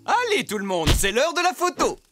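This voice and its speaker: A performer voicing a goofy, enthusiastic, easily flustered perfectionist parent in a French animated cartoon. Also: high-pitched, brisk. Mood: excited. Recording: cartoon music behind.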